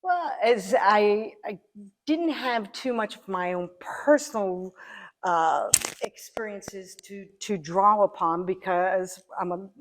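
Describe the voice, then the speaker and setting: Slow, thoughtful woman answering interview questions. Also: medium pitch, poor audio. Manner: thoughtful, halting, pieces phrases together. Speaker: interview guest, amateur stage actor.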